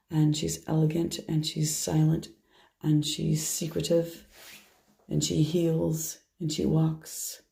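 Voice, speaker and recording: A hoarse woman chatting through a tarot card reading, soft and meandering as she shuffles and lays out cards. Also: sits low. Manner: soft, meandering, half to herself while handling cards. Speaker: tarot reader. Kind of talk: casual tarot reading monologue.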